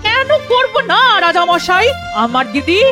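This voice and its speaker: A woman's voice: very high voice, sing-song, a little slow, full character voice. A woman voicing a sly, stubborn old woman, the younger sister, in a cartoon, talking back defiantly. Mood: arguing. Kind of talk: cartoon voice acting. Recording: music under voice.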